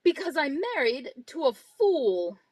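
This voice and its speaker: Lady doing a bossy scornful wife, high voice, slow and cutting, super dry. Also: big pitch swings, no echo. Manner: cold, cutting, condescending. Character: domineering scornful middle-aged wife. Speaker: radio drama actor. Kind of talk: live reading of an old-time radio script.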